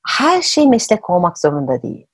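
A woman hosting an interview, telling personal stories in a conversational, expressive way. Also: fairly low pitch, fairly quick, breathy edge, no room sound. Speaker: podcast host. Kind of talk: conversational storytelling.

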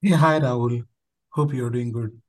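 A man calling in with a husky voice, politely and hesitantly working up to his question. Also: mid-range voice, unhurried. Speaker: caller asking for advice.

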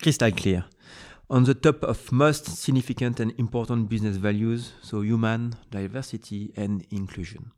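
A man's voice: lowish voice, normal speed, a little mellow. Formal man reading interview questions. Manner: formal, reads prepared questions. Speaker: podcast host.

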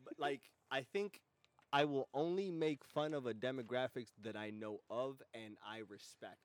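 A man's voice: medium pitch, moderate pace, no echo. An American man hosting a casual comedy podcast, chatting in a slangy, profane, irreverent way. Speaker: podcast host.

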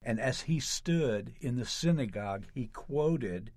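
A man, a pastor, reading a scripted narration in a measured, deliberate way with dramatic emphasis. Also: mid-range voice, animated, darkish tone, dry recording. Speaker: pastor narrating his article.

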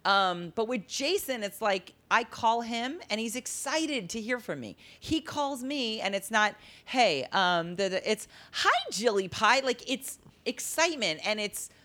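Woman, low deep timbre, animated, storytelling interview guest. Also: unhurried, hardly any pauses. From Las Vegas. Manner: animated, acts out quoted dialogue. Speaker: comedian guest.

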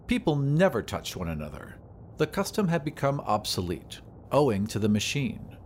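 A man's voice: medium pitch, very animated, normal speed, a little hiss or hum. A man reading scripted narration as the narrator and host of an audio drama podcast. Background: music.

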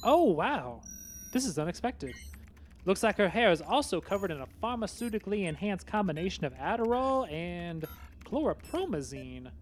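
A man voicing a calm older butler who explains gadgets and drugs. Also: high-pitched, normal speed, slight background noise. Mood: matter-of-fact.

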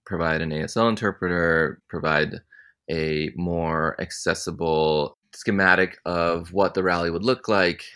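Young male interview guest, very deep voice, easygoing and reflective delivery. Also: measured pace, smooth. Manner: relaxed, thoughtful, many ums. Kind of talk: casual interview answers.

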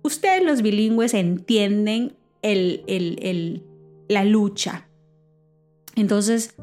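A woman who preaches, with Spanish as her first language, telling a personal story in a lively, halting way with many restarts. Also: fairly high pitch. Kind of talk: personal testimony, casual talk.

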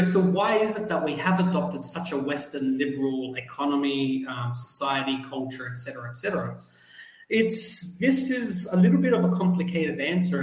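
A man's voice: on the high side, roomy sound, rough recording. A young Australian man, a guest on a podcast, explaining at length with frequent ums and uhs. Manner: measured explainer with fillers like um and uh. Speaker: guest, journalist and PhD student. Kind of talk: explanatory interview answers.

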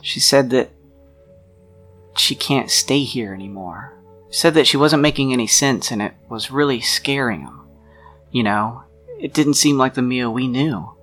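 A man playing a troubled, protective friend of a missing woman in a role-play drama, speaking in a halting, deliberate, sincere way with a gravelly voice. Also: mid-range voice, a bit bright, natural voice. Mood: uneasy, recounting. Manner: halting, deliberate, pausing mid-sentence. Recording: music under the voice.

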